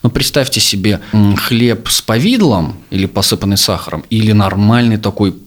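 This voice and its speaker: A man in his thirties, a psychologist and sex therapist, explaining things in a measured, lecturing way on a podcast. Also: lowish voice, animated. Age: 30s. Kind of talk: podcast discussion.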